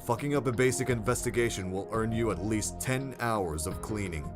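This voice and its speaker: A stern male commander played by a man with a low voice, formal and authoritative, addressing a hero team over prominent music. He speaks bluntly, in scripted drama style. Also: normal speed, natural voice. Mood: stern, instructive. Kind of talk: scripted audio drama dialogue.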